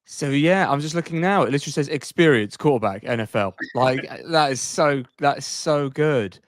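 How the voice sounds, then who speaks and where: A man's voice: fairly high pitch, lively intonation, normal speed, dry recording, clean studio sound. A man co-hosting a sports podcast in a casual, informal, conversational way.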